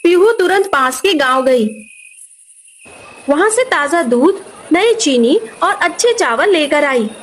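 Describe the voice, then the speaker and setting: Very high-voiced woman narrating children's stories. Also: lively intonation, takes it slowly, a little hiss or hum, rough recording. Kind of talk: scripted children's story narration.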